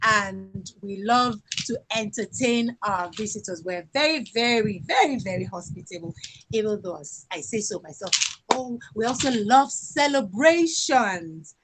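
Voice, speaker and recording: Slow-speaking Nigerian woman. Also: medium pitch, animated, background noise. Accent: Nigerian (Yoruba). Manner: sings folk songs, speaks slowly and warmly with fillers. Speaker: cultural presenter. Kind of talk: talk sharing songs and culture.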